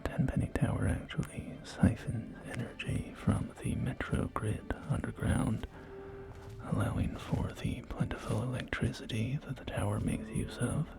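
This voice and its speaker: Man playing post-apocalyptic estate agent for ASMR roleplay: whispered, very gravelly, formal and gently persuasive. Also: lowish voice, fairly even intonation, over music. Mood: reassuring, formal. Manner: formal, unhurried, softly persuasive sales patter. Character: polite male wasteland real estate agent, salesman.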